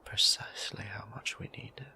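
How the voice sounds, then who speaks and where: A man playing a gentle, courteous vampire priest in an intimate audio roleplay, speaking in a breathy whisper with formal, old-fashioned phrasing. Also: normal speed. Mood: tender, courteous. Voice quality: breathy whisper with creaky low tone.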